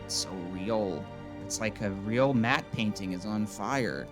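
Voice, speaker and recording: A man's voice: lowish voice, lively intonation, measured pace, music in the background. A man giving casual, mocking commentary on a film in a sarcastic, irreverent way. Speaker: video host and commentator.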